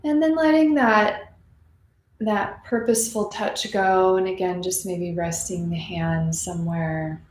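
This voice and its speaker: Female meditation teacher, soothing and slow-paced, leaving long gaps, recorded in a roomy space. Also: lowish voice. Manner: soothing, unhurried, with long pauses. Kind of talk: guided meditation, gently spoken and unscripted. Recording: roomy echo, faint background noise.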